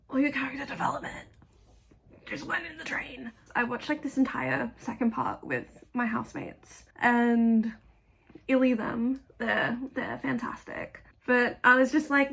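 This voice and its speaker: A young woman with a gravelly voice, still hoarse after an illness, giving blunt, chatty fan commentary with lots of fillers. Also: high voice, a bit bright. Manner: chatty, blunt, swears casually, many fillers. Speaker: video essayist.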